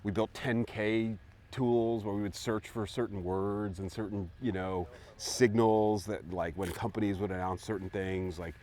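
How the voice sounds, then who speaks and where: A man's voice: on the low side, little pitch movement, unhurried, darkish tone. A man in his forties, hosting a casual chat outdoors on a golf course, telling rambling stories full of "like" and "you know". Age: 40s. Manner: rambling, filler-heavy storytelling. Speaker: host.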